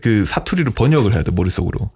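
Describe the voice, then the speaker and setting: A man's voice: on the low side, very animated, very fast, a little mellow, breathy edge. A man from Korea's Gyeongsang region with a Gyeongsang accent, hosting a casual conversation in a laid-back, musing way. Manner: laid-back, musing, many murmured backchannels. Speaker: podcast host, senior filmmaker.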